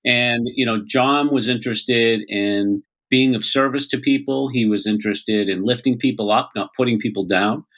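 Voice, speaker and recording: A man with a Boston accent, a podcast guest who talks warmly and haltingly, with many fillers. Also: medium pitch. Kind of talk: conversational interview.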